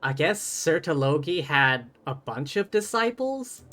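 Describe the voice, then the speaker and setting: A man's voice: on the high side, sing-song, unhurried, bright, forceful tone, faint music behind. A man streaming a video game live, commenting and reading dialogue aloud.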